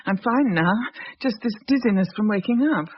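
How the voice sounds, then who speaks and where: A woman's voice: medium pitch, expressive, normal speed, close to an everyday voice. An actress playing a dazed, drowsy, vague woman who has just woken, in a radio horror drama. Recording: muffled, noisy old radio recording.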